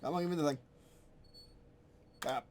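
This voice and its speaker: A man's voice: a little high, a little fast, darkish tone. A man casually thinking aloud at his computer as he reworks his game rules, rambling and correcting himself as he goes. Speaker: game designer streaming his work.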